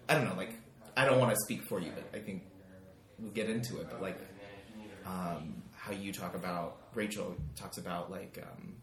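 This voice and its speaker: A man's voice: sits low, moderate pace, a bit bright, roomy sound. A man guesting on a podcast, chatting casually with many likes and ums, recorded in a living room. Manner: rambling, lots of likes and ums. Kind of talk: casual chat.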